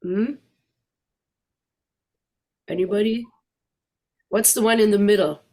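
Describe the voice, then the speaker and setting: A woman's voice: medium pitch, takes it slowly, darkish tone. A middle-aged woman with a German accent, a guest speaker walking a club audience through slides in a chatty way and asking them questions.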